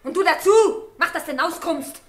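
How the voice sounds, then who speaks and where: A woman's voice: high-pitched, brisk, a bit bright. A woman playing a bossy, thrifty middle-aged carter's wife in a German radio drama, with earthy, scolding talk. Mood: indignant, scolding. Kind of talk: mid-century German radio drama acting. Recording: 1960s radio play with music behind.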